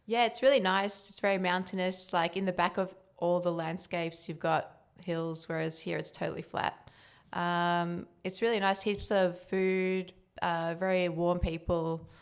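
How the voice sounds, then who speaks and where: A young woman with Turkish as her first language answers interview questions in a relaxed, chatty way. Her voice sits fairly low for a woman and sounds smooth and clear. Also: unhurried. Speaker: guest, building cost estimator. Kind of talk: casual podcast interview.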